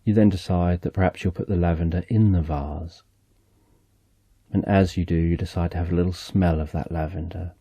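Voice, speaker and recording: A man narrating a slow, soothing guided relaxation story in the second person, speaking softly and gently. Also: low voice, steady tone, slightly rough, dry recording.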